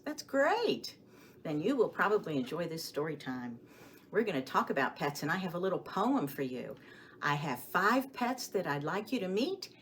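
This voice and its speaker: A woman leads a story time for small children in a very animated voice. She takes her time, stressing words expressively and putting questions to her young listeners. There is steady noise behind her. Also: lowish voice. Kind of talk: children's story time with songs, rhymes and picture book reading.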